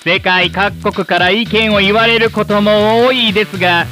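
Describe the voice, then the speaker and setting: A man's voice: high voice, fairly even intonation, pushed and bright, faint music behind. A man playing an earnest television presenter in a radio drama, announcing in formal, scripted, solemn language. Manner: formal, solemn, measured wording.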